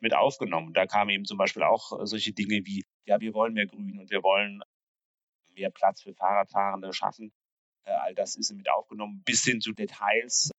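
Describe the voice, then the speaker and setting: A low-voiced man talks through urban planning ideas, often hesitating and restarting his sentences. His voice is recorded dry and a little dull, with no room sound. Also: a bit bright, no echo. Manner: hesitant, many fillers and restarts. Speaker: cycling infrastructure planner. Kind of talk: conversational expert explanation.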